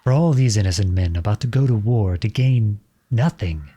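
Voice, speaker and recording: Husky, low-voiced outlawed prince played by a man. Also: animated, normal speed, warm tone. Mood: urgent, pleading. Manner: earnest, pleading, dramatic delivery. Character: outlawed young prince turned soldier, impassioned, lovestruck, defiant. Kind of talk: scripted audio roleplay acting.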